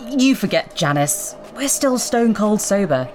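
An actress playing a wealthy female media star who flatters breezily, with music underneath. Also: medium pitch, measured pace. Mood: flattering. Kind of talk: audio drama acting.

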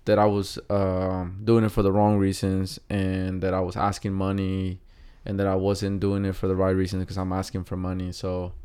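Mexican accented guy, lower voice, smooth, lots of ums and restarts. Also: monotone, moderate pace, few pauses, dark tone. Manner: hesitant, many fillers and restarts. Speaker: guest artist. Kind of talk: casual interview.

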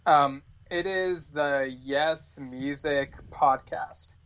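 A man's voice: a little high, extremely slow, fairly bright tone. Male podcast guest on dull lo-fi audio. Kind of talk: casual podcast chat.

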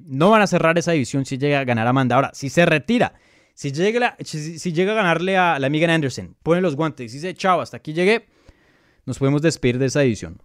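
A man's voice: fairly high pitch, dry recording, studio quality. Colombian man giving casual fight analysis. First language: Spanish. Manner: conversational, emphatic, many fillers and restarts. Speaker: MMA analyst and channel host. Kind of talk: casual live commentary answering viewer questions.

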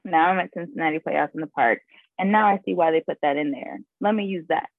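A young woman talks through her acting training in an interview, lively and laughing often. Her voice sits low and gravelly, and it comes over a dry, tinny phone line. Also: fast, no room sound. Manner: animated storyteller, laughs often, says "like" a lot. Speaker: guest actress. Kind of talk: casual interview chat.

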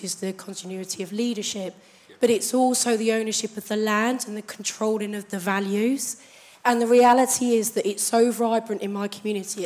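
A woman with a croaky, hoarse voice asks a conference panel a long question from the audience in a chatty, anecdotal way. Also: medium pitch, darkish tone. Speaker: audience member asking the panel.

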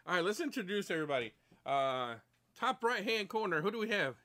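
A man's voice: high-pitched, very animated, brisk, bright, forceful tone. Chatty man in his forties. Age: 40s. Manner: rambling, repeats words, laughs often. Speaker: stream host. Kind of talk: casual livestream chat.

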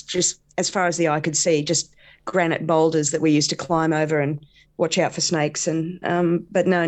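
A woman's voice: deep voice, darkish tone. A middle-aged woman, a comedian chatting casually as an interview guest, hesitant with many fillers. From Australia.

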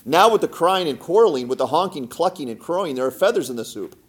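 A desperate poor village man from a storybook, acted out by a male reader. He exclaims and pleads in a fairly high male voice, as someone worn down by misfortune. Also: expressive, fast talker, natural voice. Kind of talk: storybook character voice.

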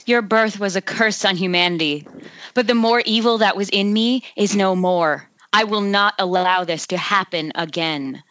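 A woman's voice: medium pitch, normal speed, a bit bright, lightly acted. A woman playing an austere, accusing young nun's ghost in a horror script table read. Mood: stern, solemn. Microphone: Skype call. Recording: dull internet call.

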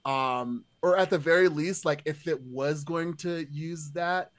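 A man's voice: fairly high pitch, unhurried. A man talking casually about film as a podcast guest, in rambling, circling sentences peppered with "like".

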